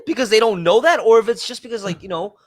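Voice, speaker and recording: A man's voice: sits high, fast. A young man from Chicago co-hosting a podcast, chatting casually in a profane, sardonic way. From Chicago, United States. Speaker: podcast co-host. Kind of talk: casual chat.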